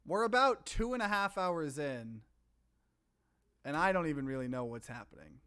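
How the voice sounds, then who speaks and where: A man's voice: fairly high pitch, animated, normal speed, pushed and bright, clear, resonant tone, dry recording, studio quality. A man hosting a video game playthrough, chatting casually as he comments on the game. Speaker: let's play host. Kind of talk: casual gaming commentary and reading game text aloud.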